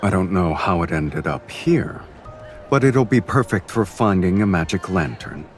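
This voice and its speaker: An actor playing a cool, teasing, powerful male fiend in a romantic fantasy game, speaking in a smooth, measured, understated way. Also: deep voice, animated, soft background music. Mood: cool, amused.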